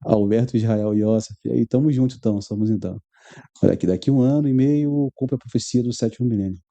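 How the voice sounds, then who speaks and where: A man's voice: mid-range voice, fast, warm tone, highs cut off. A man with a Rio de Janeiro Brazilian accent, reading news aloud with conversational asides to his viewers as a religious commentary host.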